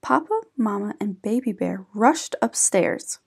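A woman reading a children's picture book aloud in an expressive, deliberate storytelling manner. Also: medium pitch, gravelly, slightly rough. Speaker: read-aloud channel host.

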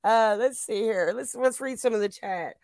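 Higher-pitched woman chatting casually on stream. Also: moderate pace, a crowd in the background. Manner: informal, encouraging listener. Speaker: livestream co-host. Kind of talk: casual chat, reading viewer comments. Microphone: remote livestream call.

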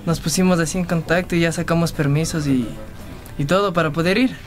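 A young man from Ecuador tells a story about his band in a music studio. His voice is slightly high and he restarts often. Faint music sits behind him. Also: steady tone. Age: 20s. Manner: chatty, halting restarts. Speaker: band guitarist. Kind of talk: casual interview storytelling.

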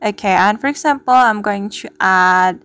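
A woman presenting a step-by-step software tutorial as the host. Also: medium pitch, normal speed, clean tone. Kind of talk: spoken screen-recording tutorial.

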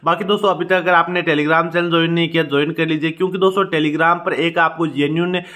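A man narrating an app walkthrough on a phone screen in a steady, repetitive tutorial patter. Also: fairly high pitch, fast talker. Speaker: YouTube app reviewer. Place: indoors, filming a phone screen.

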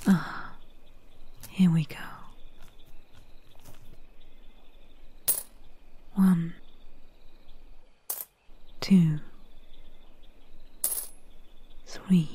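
A woman playing a calm, mercenary swamp witch healer in a soft-spoken, whispery ASMR roleplay, gently wry and soothing, close to the microphone. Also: on the low side, heavily acted. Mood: quiet, wry. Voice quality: breathy, whispery. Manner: slow, unhurried, hushed, soothing.

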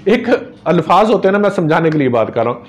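A man's voice: fairly high pitch, very animated, quick pace. Earnest herbalist man. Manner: earnest, gesturing, religious phrases. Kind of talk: home remedy advice to camera. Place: small office with framed photos on walls.

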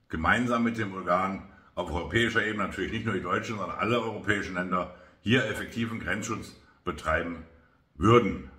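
A man in his fifties giving political commentary to camera in short, measured phrases, recorded indoors in a bare room. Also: fairly low pitch, sharp tone. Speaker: politician hosting a weekly video review. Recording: roomy indoor echo.

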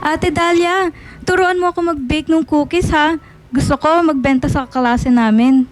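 A woman's voice: high voice, steady tone, a little slow, soft background music. A woman playing a cheeky, chatty younger sister of school age in a radio drama, playful and rambling.

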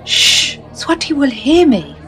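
A woman's voice: high-pitched, very animated, slow pace, crowd noise, noisy background, heavily acted. A woman playing an anxious, loving mother in an audio drama, speaking in an earnest, pleading way. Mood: hushed, anxious. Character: anxious, loving mother longing for imprisoned husband.

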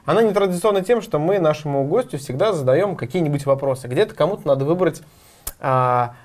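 A young man co-hosting a TV talk show, chatting casually with lively interjections in a studio. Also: on the high side, brisk. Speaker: co-host. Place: TV studio set.

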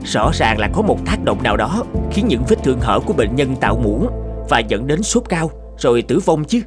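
Man voicing an earnest, lively cartoon doctor. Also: mid-range voice, moderate pace, lightly acted. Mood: thoughtful. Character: earnest young male doctor and researcher, curious, thoughtful. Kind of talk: cartoon dubbing, educational storytelling. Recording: music bed under dubbed dialogue. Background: background music.

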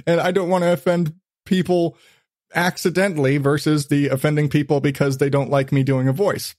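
Man, fairly high voice, podcast host, casual, sweary, acting out asides, dry close sound. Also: fairly quick, no echo. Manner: profane, animated storytelling with acted-out asides.

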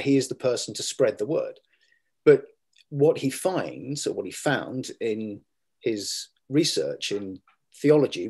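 A middle-aged man, a guest scholar, talking in a measured and halting way with many fillers and restarts, recorded over a video call from a room at home. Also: medium pitch, a little mellow. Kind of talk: academic discussion on a video call.

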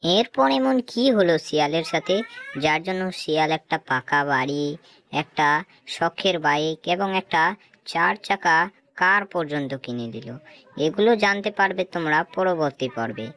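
A woman's voice: deep voice, animated, normal speed, fairly bright tone. A woman narrator reading scripted storytelling narration for a cartoon tale.

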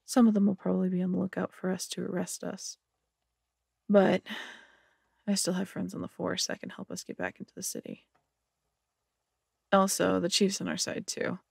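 A serious female police detective played by an actress in an audio drama. Her voice is heavy with vocal fry and husky, delivered in a businesslike way. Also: fairly low pitch, little pitch movement, normal speed, pauses often, a little mellow, no echo, clean studio sound, exaggerated voice.

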